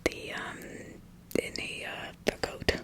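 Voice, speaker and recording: A woman's voice: takes it slowly, natural voice. A woman playing a scared, flustered poor farmer accused of witchcraft, stammering and evasive in a whispered ASMR roleplay. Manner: hesitant, stammering, evasive, pleading.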